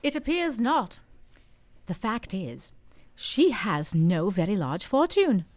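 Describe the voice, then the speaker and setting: A woman's voice: mid-range voice, big pitch swings, slow. A woman playing a kindly, gossipy older housekeeper in a radio play, genteel and motherly.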